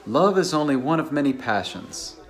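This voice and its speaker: A man's voice: on the high side, expressive, normal speed. A man playing a reserved, naive academic bachelor in a dramatized novel, mild and donnish. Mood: mild, earnest.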